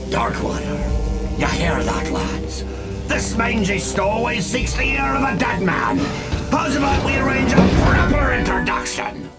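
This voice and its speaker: An actor playing a menacing space pirate leader in a video game cutscene, with theatrical, bullying talk. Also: male voice, very high-pitched, very animated, unhurried, over loud music, full character voice.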